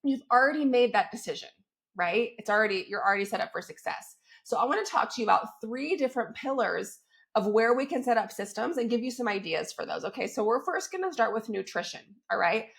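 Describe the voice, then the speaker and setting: Woman fitness coach, fairly high voice, gravelly and crackly from a cold, upbeat and chatty. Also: expressive, fairly quick, fairly bright tone. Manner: upbeat and chatty, keeps checking in with "right?" and "okay?". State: has a cold, voice crackly and squeaky. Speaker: fitness coach and podcast host. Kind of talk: solo podcast, coaching talk.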